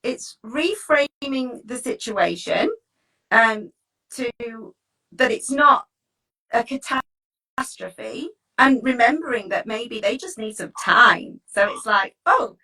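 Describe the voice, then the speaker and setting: A woman with a fairly high, bright voice talks like an informal coach. She is encouraging and chatty, often seeking agreement with small tag questions. The microphone sits at a distance from her. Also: lively intonation, slow, vocal fry. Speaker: teacher wellbeing coach leading a talk.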